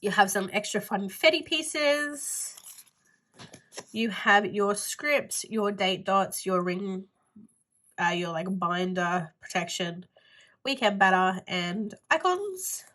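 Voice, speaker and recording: A woman's voice: mid-range voice, lively intonation, takes it slowly, a bit bright. A woman with a stuffy nose and a nasal voice, chatting casually through a planner haul video while she handles her items. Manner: chatty, rambling with "like" fillers. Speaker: planner vlogger.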